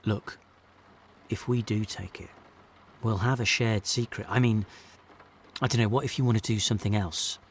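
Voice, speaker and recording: A man's voice: fairly low pitch, fairly even intonation, normal speed, long pauses, slight background noise, lightly acted. A man with a British accent playing an earnest, touchy jobbing actor in a naturalistic audio drama, speaking colloquially and hesitantly. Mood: tentative, scheming. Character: jobbing actor John, earnest, wistful, touchy, working a council clearance job.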